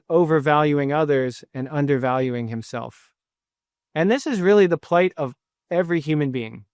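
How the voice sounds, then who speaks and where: A man's voice: mid-range voice, clean tone, dry recording, studio quality. A man in his thirties, a pastor giving an earnest sermon with self-deprecating personal stories, sometimes retelling scripture in the first person.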